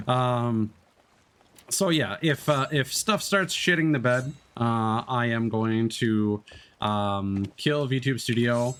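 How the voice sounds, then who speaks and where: A young man streaming from home, chatting casually with viewers, rambling through fillers and restarts and swearing freely. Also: fairly low pitch. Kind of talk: casual live-stream chat.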